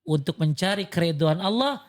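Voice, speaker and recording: A man's voice: on the high side, lively intonation, moderate pace. A man teaching Islam informally, asking questions and answering them himself with emphatic repetition. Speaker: religious teacher giving advice as a guest.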